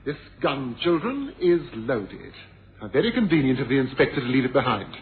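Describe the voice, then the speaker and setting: An actor playing an urbane, mocking murderer in a suspense radio drama, with a smug, darkly amused manner. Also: male voice, mid-range voice, sing-song, normal speed, natural voice. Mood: coolly menacing. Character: urbane, mocking male murderer who feigned blindness. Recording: noisy phone-like vintage radio recording.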